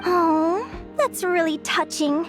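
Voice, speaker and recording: High-pitched cheerful young bird-fae explorer. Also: female voice, takes it slowly. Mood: light, friendly. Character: cheerful, curious young female bird-fae naturalist adventurer. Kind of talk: video game story acting. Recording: background music under voice.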